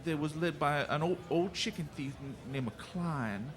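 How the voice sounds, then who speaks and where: A man's voice: a little high, animated, measured pace. Man playing a hesitant, naive rural American drifter. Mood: naive, unguarded. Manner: hesitant, stammering, folksy. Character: simple, scrawny, naive male western drifter. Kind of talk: western radio drama acting. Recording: music behind the voice.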